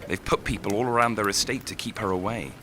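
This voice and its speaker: An actor in an audio drama playing a brooding, lovelorn young writer, speaking in a hesitant, reflective way. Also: male voice, on the low side, fast, background noise, close to an everyday voice. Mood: melancholy, wistful.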